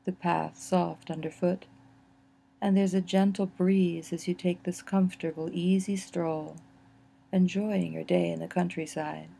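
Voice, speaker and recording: Low, mellow woman guiding relaxation. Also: level tone, gravelly, husky. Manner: gentle, soothing, unhurried phrasing with pauses. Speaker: guided meditation narrator. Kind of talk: slow guided imagery relaxation narration.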